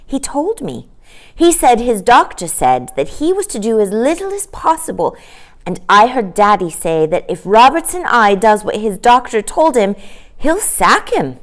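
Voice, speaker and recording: A woman voicing an excitable, chatty little boy in a children's storybook, eagerly reporting in breathless exclamations. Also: a little high, a little slow, pitched above the performer's own voice. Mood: confiding.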